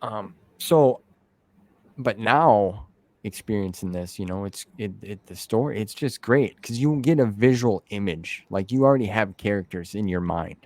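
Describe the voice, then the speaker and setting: A man's voice: fairly low pitch, moderate pace, a little mellow, dry recording. A man hosting a casual interview, talking in an informal, rambling way peppered with slang like "man" and "dude". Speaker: interview host. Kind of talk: casual conversational interview chat.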